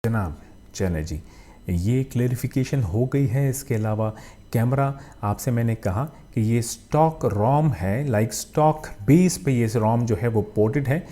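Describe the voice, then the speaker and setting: A man's voice: medium pitch, expressive, a little slow, dark tone. A man with a Pakistani accent narrating a casual phone review as a channel host, often using filler phrases and mixing in English tech terms.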